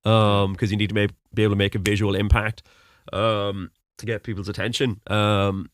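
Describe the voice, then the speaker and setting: A man's voice: lowish voice, flat delivery, normal speed, dry recording, studio quality. A young Irish man with a fairly neutral accent that some hear as American, answering questions in a rambling, casual way with many ums. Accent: Irish with American-sounding notes. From Ireland, Mullingar, Westmeath. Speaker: podcast host being interviewed. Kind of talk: casual conversational interview.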